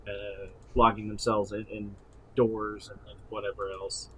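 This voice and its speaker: A man co-hosting a casual chat, halting and repeating words as he makes his point. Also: fairly low pitch, level tone, sharp tone, slight background noise. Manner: halting, stammering repetitions. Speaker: podcast co-host.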